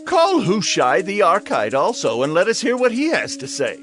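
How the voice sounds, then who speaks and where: Bossy young prince in audio bible drama, theatrical, wildly swinging pitch, music behind. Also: male voice, wildly swooping pitch, moderate pace, a bit bright, full character voice. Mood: commanding.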